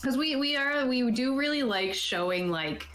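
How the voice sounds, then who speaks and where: A woman's voice: high voice, expressive, normal speed, a bit bright. A woman chatting casually and enthusiastically as a game master about tabletop roleplaying, thinking aloud as she goes. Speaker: game master and show host. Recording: roomy echo, faint background noise.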